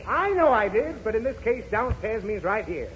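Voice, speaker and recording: Exasperated high-pitched American radio dad. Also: male voice, sing-song, normal speed. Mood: grumpy, impatient. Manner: irritable, sarcastic, huffy explanations. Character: exasperated, grumbling father of a pestering little girl. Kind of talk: 1930s radio comedy acting. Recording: dull, noisy 1930s radio recording.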